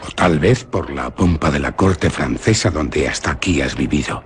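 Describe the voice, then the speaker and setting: A young Spanish nobleman from a radio play, brooding and earnest, with a very deep voice that rises and falls. He talks in a hushed, solemn way. A steady hiss sits under the old radio recording. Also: moderate pace. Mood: tender. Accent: Spain Spanish. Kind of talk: 1950s-style radio drama acting.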